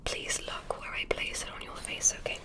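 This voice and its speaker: A woman's voice: normal speed, lightly acted. Woman whispering as a curious alien examiner. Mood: soft, clinical. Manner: whispered, soft and clinical, asking questions. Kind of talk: ASMR medical roleplay.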